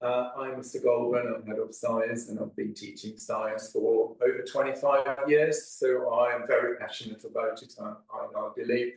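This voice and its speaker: Middle-aged male science teacher, gravelly, hesitant delivery, very echoey classroom. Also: mid-range voice, level tone, moderate pace, few pauses. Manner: hesitant, many ums and uhs. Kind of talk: teacher explaining an experiment. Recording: very echoey room.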